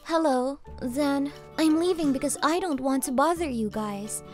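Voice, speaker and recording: A woman's voice: high voice, a little slow. A woman playing a guarded, nonchalant university student niece in a story, with an offhand manner. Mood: polite, reserved. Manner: flat, offhand. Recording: music behind the voice.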